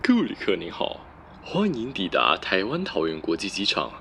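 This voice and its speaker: A man's voice: low-pitched, wildly swooping pitch, moderate pace, creaky, background noise, exaggerated voice. A man playing a polite, formal airline announcer in an audio drama.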